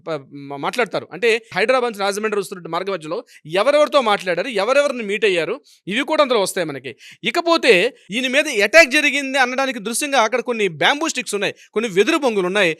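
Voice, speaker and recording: A Telugu man speaks as a legal expert in a high voice and at a quick pace. He explains emphatically, circling back over points and posing questions as he goes. The sound is close and dry. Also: bright, forceful tone, dry recording. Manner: emphatic, repeats himself and poses rhetorical questions. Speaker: advocate commenting as a legal expert. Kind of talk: explanatory legal news commentary.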